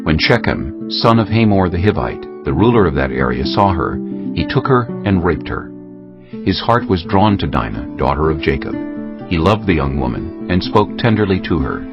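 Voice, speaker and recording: Very deep solemn male narrator. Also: very animated, normal speed. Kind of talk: scripted dramatic Bible narration. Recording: dull sound, clear music bed.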